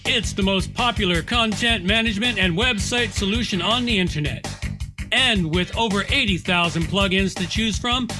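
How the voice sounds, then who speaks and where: A man's voice: high voice, normal speed, music in the background. A middle-aged man from Canada presenting a podcast as its host in an unscripted style.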